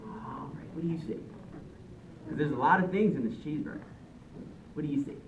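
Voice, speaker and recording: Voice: mid-range voice, fairly quick, highs cut off, a little hiss or hum. A teacher doing an interactive read-aloud, prompting listeners with questions, recorded from a distance in a roomy space. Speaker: teacher reading aloud to children. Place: indoor room with a group of children.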